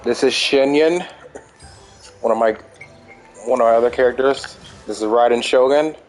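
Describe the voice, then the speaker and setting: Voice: medium pitch, normal speed, darkish tone, soft background music. A gamer chatting casually while showing things in a game, in simple, repetitive phrases. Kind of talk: casual gameplay chat.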